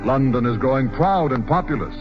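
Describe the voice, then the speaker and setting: A man delivers dramatic scripted narration in 1940s radio fashion. His medium-pitched voice rises and falls expressively over prominent music. The old recording is somewhat dull. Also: animated, a little fast.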